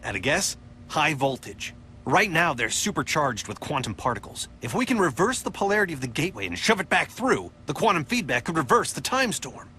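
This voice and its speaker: A man voicing a quick-witted, determined, sarcastic young superhero in a video game, wry and often thinking out loud. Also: a little high, very animated, slight background noise. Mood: focused, analytical. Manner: wry, talks to himself while working out problems. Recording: loud music and effects behind voice. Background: loud game music.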